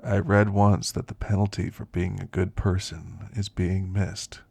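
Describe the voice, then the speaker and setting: A man playing a weary, wistful elderly werewolf who confides in slow, reflective ASMR roleplay storytelling, gravelly and husky. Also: sits low, little pitch movement, darkish tone, dry recording, full character voice. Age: older.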